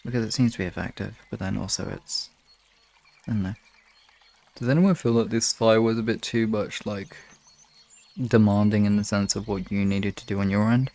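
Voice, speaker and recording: A man's voice: fairly low pitch, steady tone, moderate pace, darkish tone. A man chatting casually on a live stream in a hesitant, rambling, self-questioning way, as host of relaxation sessions. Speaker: hypnosis ASMR streamer.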